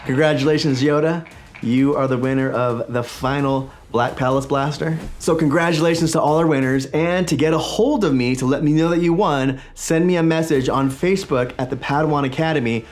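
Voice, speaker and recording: A man in his forties with a slightly raspy voice, still recovering from illness, hosting a toy collecting vlog from a small home studio in an enthusiastic, chatty way. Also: a little high, brisk. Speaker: toy collecting channel host. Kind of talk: casual vlog talk to camera.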